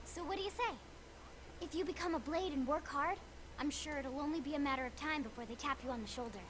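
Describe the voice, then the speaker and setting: An excitable young video game girl with a high voice and a nerdy, gushing eagerness. She sounds like a tech fan in full flow, heard over clearly noisy game ambience. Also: fast talker. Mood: chirpy. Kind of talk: English-language video game voice acting.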